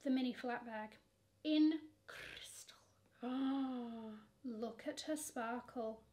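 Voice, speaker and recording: A woman's voice: on the high side, slow, dark tone. A young woman chatting through luxury online shopping, sassy and gushing, calling bags "she" while seated at her camera. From England. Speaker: fashion vlogger. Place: bedroom with shelves of shoes.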